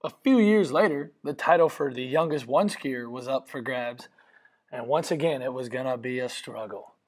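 A man's voice: mid-range voice, normal speed. A young man telling a personal story as a voice-over, in an earnest, reflective way. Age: young adult. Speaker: narrator of a family video.

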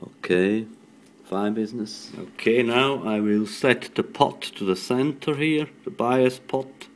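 A non-native English-speaking man giving an improvised hands-on electronics tutorial at a workbench, talking haltingly in short phrases. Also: mid-range voice, slight background noise. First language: not English. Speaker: electronics hobbyist demonstrating a radio modification. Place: indoors at a workbench.

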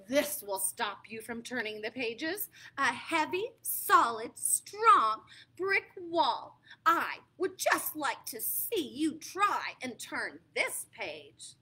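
A woman's voice: sits high, very animated, slow, bright, forceful tone, husky, pitched below the performer's own voice, heavily acted, low-quality recording. A woman voicing a lovable furry male monster who pleads frantically, in a storybook read-aloud. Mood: determined.

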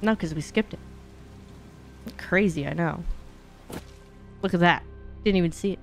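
A young woman hosting a gaming livestream, chatting casually, reacting loudly and swearing freely. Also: lowish voice, a little slow, soft background music. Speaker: livestream host and speedrunner. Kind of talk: casual gaming stream commentary. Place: indoors, gaming room at home.

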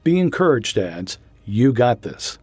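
A man reads a scripted promotional spot in an earnest, encouraging tone. His voice is warm and a little husky, and his pitch moves a lot. Soft music plays faintly underneath. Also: sing-song, a little slow, dry recording. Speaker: announcer.

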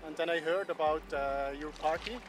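Man, thirties, slightly high voice, hesitant casual interview, outdoors on a boat, music behind. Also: fairly even intonation, a little fast. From Switzerland. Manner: hesitant, searching for words. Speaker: sailor, interviewee. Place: outdoors on a sailboat deck at sea.

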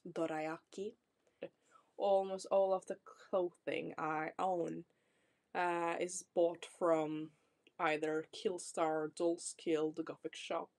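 Norwegian woman, 20s, Norwegian accent, low and slow, casual vlog chat. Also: a little mellow. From Norway. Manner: chatty, apologetic, reassuring, laughs often. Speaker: vlogger. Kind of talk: casual self-introduction to camera. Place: small decorated room indoors.

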